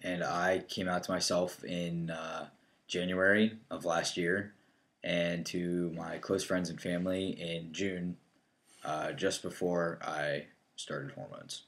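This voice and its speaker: A man's voice: low voice, flat delivery, slow, a bit bright. A man in his early twenties, co-hosting a casual chat in a plain, matter-of-fact way with a wry edge. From northeastern Ohio. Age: 20s. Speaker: co-host.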